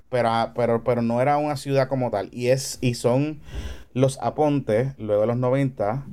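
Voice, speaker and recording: A man's voice: medium pitch, a little mellow, smooth. A man from Puerto Rico with a Puerto Rican accent, explaining things casually as a podcast guest, with colloquial asides and bits of English slang. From Carolina, Puerto Rico.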